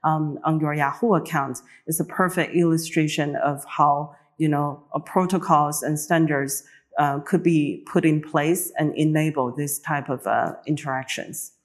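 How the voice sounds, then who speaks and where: A woman's voice: low-pitched, fairly even intonation, unhurried. A woman guest giving a careful explanation in an interview, with hesitant fillers between phrases. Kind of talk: interview answer.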